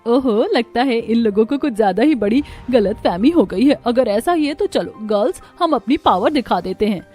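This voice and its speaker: A woman's voice: on the high side, expressive, moderate pace, darkish tone, faint music behind. A woman voicing a friendly, helpful, sociable village woman in a cartoon story. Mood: playful.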